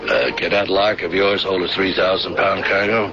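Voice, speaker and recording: An actor playing a shifty, fast-talking male smuggler in a 1970s radio drama, wheedling and chuckling through his sales patter. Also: deep voice, flat delivery, noisy background, natural voice. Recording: dull old radio broadcast with music.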